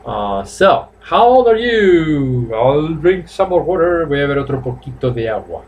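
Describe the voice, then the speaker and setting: Teacher, fifties, higher voice, slow drills, webcam room with faint noise. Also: male voice, big pitch swings, darkish tone, dull audio. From Spain. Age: 50s. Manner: repeats phrases slowly for drilling, switches between English and Spanish. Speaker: English teacher. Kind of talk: live online class, bilingual English and Spanish. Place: small room at home.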